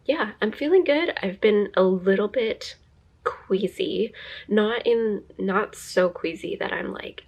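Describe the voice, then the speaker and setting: A woman's voice: mid-range voice, slow pace, a little mellow. A young woman vlogging to camera in a chatty, rambling way, a little stuffy from a cold and laughing often. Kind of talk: casual talking-to-camera vlog.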